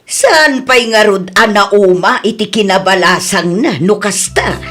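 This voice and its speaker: A woman's voice: medium pitch, a little slow, over loud music, close to an everyday voice. An actress with an Ilocano accent playing a nosy, disapproving older mother in a radio drama.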